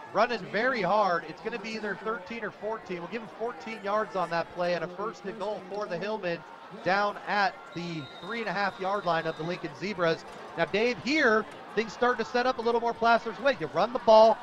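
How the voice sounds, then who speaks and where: A man's voice: high voice, a little fast. An American man calling a football game live on radio as play-by-play announcer, in short, clipped, vivid phrases. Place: outdoors at a football stadium. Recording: noisy outdoor stadium broadcast.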